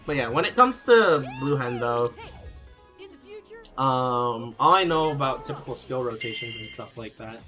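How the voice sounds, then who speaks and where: Bright-voiced man chatting about a game. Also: mid-range voice, lively intonation, a little slow, soft background music. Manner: rambling, many fillers and restarts. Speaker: game streamer answering chat. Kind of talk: casual live-stream game advice.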